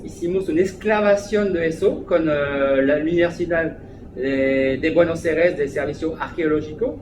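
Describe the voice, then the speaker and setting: Brief: French-accented man, hesitant restaurant manager, slightly high voice, echoey noisy room. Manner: hesitant, many fillers. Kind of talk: interview. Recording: echoey room, background noise.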